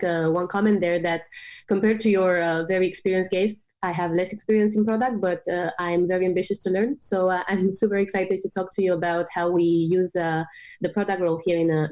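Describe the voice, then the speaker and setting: A woman's voice: on the low side, fairly quick, a little mellow, muffled recording. A woman from Romania in her late twenties, speaking as an interview guest with many hesitant fillers. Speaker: guest, startup product manager. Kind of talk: remote interview.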